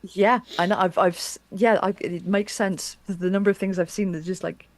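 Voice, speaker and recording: A woman's voice: mid-range voice, fairly even intonation, moderate pace, no room sound. A woman interviewer chatting casually and enthusiastically, with effusive reactions. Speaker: interviewer and publisher.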